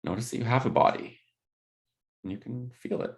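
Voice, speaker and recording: A man leading a guided body scan meditation, speaking gently in unscripted, hesitant phrases. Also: mid-range voice, fairly quick, gravelly.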